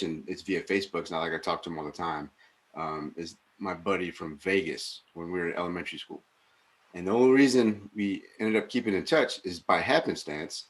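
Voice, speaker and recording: A man in his forties with a very low, deep voice, chatting over a video call. He muses aloud in a loose, reflective way, full of ums and restarts. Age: 40s. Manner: rambling, reflective, many fillers. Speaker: podcast co-host. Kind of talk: casual conversation.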